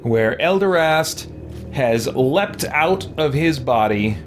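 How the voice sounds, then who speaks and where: A man's voice: medium pitch, expressive, slow pace, soft background music. A man giving casual gameplay commentary as a host, thinking aloud. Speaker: let's play host.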